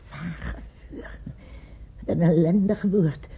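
A fearful, guilt-haunted older wife. Her voice is lowish and very husky, with a brooding, weary delivery. It is an old radio play recording, dull in sound. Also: takes it slowly, heavily acted. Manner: brooding, anxious. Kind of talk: radio play acting in Dutch.

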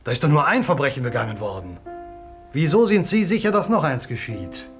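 An uneasy, hesitant guesthouse owner. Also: male voice, a little high, big pitch swings, brisk. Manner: hesitant, flustered. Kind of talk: 1950s German-language radio mystery acting. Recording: 1950s radio recording with faint music.

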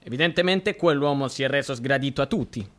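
An actor playing a confused, easily swayed judge in a radio play, stammering and repeating himself anxiously. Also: male voice, mid-range voice, fast talker, fairly bright tone, close to an everyday voice. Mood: smug, scheming. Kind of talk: theatrical radio drama acting.

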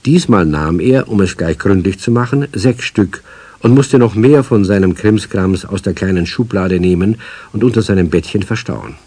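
Male narrator for a children's radio tale, husky tone, dry close studio sound. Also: on the low side, warm tone, no echo. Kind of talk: scripted children's story narration.

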